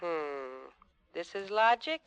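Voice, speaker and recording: A woman's voice: on the low side, expressive, takes it slowly, clear, resonant tone, no room sound. A woman playing a wealthy, affectionate girlfriend in an American accent, dry and teasing, on a muffled old radio recording. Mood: wry, fond. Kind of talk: 1950s radio drama acting. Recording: dull band-limited old radio recording.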